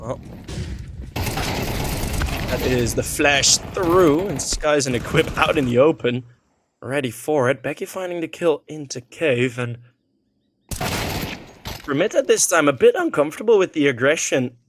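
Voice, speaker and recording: A man's voice: on the high side, a little slow, long pauses, background noise. Dutch-accented man casting an esports match. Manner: relaxed and thinking aloud, many fillers and restarts. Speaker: esports caster. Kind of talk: solo play-by-play commentary.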